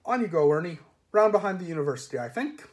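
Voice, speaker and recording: A man voicing a cheerful, courteous gang leader with quiet menace, in a storybook reading. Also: sits high, very animated, fast, voice pushed up. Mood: bright, cheerful menace. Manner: cheery, falsely solicitous, courteous. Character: brightly polite, menacing male knife-wielding gang leader.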